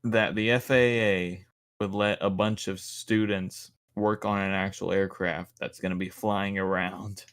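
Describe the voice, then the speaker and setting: A man with a low voice chats on a casual podcast and takes his time with each sentence. His humor is dry and sarcastic. He sounds unhurried. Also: level tone, a bit bright, clear, resonant tone. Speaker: podcast co-host. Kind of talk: casual chat.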